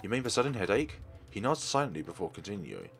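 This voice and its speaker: Lively low-voiced man reading a game. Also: fast talker, creaky. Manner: reads game text aloud, adds casual asides. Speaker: let's play host. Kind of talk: reading a visual novel aloud with commentary. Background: faint game music.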